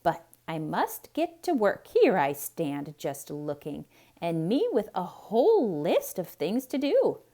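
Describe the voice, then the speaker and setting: A woman's voice: on the low side, wildly swooping pitch, takes it slowly, dark tone, exaggerated voice. A woman voicing a cheerful, naive, literal-minded housemaid in a storybook, folksy and full of wonder. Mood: delighted. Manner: wondering, folksy, self-assured.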